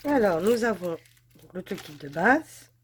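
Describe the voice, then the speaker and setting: A woman talks through an unboxing in a loose, chatty way. She is stuffed up from a head cold. She gushes over what she shows and fills her pauses with fillers. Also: mid-range voice, big pitch swings, background noise. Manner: chatty, gushing, filler-heavy. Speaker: crafts channel host. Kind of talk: casual unboxing chat.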